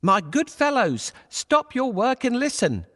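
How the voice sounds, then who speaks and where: A man's voice: very high voice, huge pitch swings, measured pace, fairly bright tone, voice pushed up, exaggerated voice. A man voicing a sly, bold talking cat who speaks persuasively and theatrically in a children's fairy tale. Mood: urgent, commanding.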